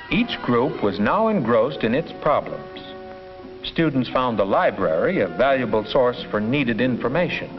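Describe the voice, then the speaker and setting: An earnest male schoolteacher narrates a 1950s educational film with formal, measured phrasing and very lively intonation. Music plays prominently behind him on a dull, old soundtrack. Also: mid-range voice.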